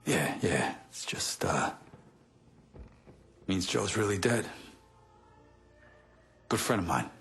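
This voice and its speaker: Low-voiced, foul-mouthed gangster. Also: male voice, big pitch swings, slow, creaky, long pauses, fairly bright tone, soft background music, full character voice. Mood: shaken, grieving. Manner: blunt, streetwise, heavy swearing. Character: weary, profane middle-aged male gangster grieving a friend. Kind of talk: video game cutscene acting.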